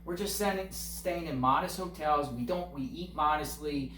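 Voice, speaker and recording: A man's voice: a little high, normal speed, fairly bright tone. A man giving earnest, casual interview answers, repeating phrases for emphasis. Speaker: online video creator, interviewee. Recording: echoey, distant mic, faint noise.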